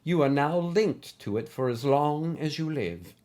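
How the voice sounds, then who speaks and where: Man voicing a soft, earnest ghostly lady teacher. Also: on the high side, lively intonation. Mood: gently solemn. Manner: gentle, earnest, measured. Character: fading old female teacher, android spirit, gently insistent. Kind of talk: audio story character acting.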